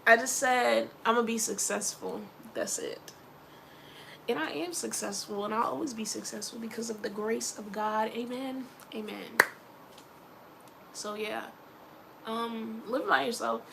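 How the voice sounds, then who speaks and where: A woman's voice: on the high side, steady tone, a little slow, creaky, noisy background. A young woman from Philadelphia with a Philly accent, talking casually and rambling in a personal vlog, often laughing and mentioning her faith. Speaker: vlogger.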